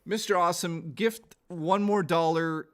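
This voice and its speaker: A man's voice: a little high, fairly even intonation, unhurried. Casual middle-aged man chatting on a livestream. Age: 40s. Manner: informal, exclamatory, sweary. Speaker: gaming livestreamer. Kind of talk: casual livestream chat with viewers.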